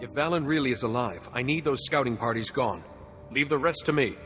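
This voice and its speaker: An actor playing a calm, principled, earnest warrior monk in a video game, speaking in a measured, formal way. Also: male voice, mid-range voice, long reverb tail, over music, natural voice. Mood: composed. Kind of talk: video game cutscene acting.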